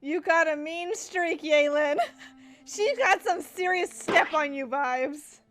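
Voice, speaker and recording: A young woman streaming a game playthrough, reacting casually in an expressive, gushing way. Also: high voice, takes it slowly, soft background music. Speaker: gaming streamer.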